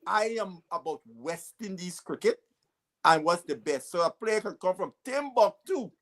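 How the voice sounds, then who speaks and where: A man's voice: high-pitched, expressive, moderate pace, a bit bright. A man from Guyana with a Guyanese accent, a cricket pundit speaking his mind emphatically on a panel. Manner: emphatic, blunt when challenged. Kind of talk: panel discussion.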